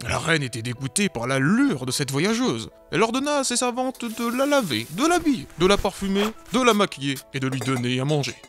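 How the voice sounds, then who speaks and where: A man's voice: high voice, big pitch swings, fairly quick, few pauses, fairly bright tone, soft background music. A man narrating a cartoon fairy tale in a mock-solemn, playful way. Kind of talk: storybook narration.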